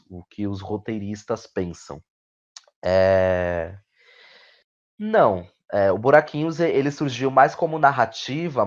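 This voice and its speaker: A man with a Brazilian Portuguese accent talking reflectively as an interview guest, often repeating words and adding tag questions. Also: on the low side. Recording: dull, lo-fi audio.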